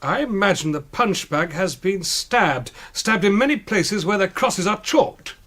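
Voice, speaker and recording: High-voiced genteel British sleuth. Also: male voice, expressive, fairly bright tone, full character voice. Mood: wry. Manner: measured, genteel, probing. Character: courteous, persistent British gentleman amateur sleuth. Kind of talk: radio drama acting.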